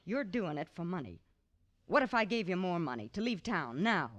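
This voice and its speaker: A woman's voice: fairly low pitch, sing-song, moderate pace, fairly bright tone. An actress playing a stubborn, grieving young townswoman set on vengeance in an old radio western, earnest and defiant. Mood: insistent.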